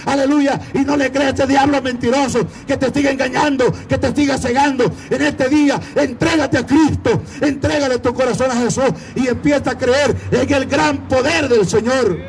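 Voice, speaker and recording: A man's voice: very high voice, fairly even intonation, moderate pace, hardly any pauses, slight background noise. A man with a Latin American Spanish accent preaching an evangelistic sermon in a fervent, declamatory, exhorting manner.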